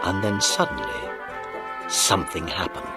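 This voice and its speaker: A man narrating a dramatised children's audiobook in an expressive, animated storytelling manner. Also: low voice, a little slow. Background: music.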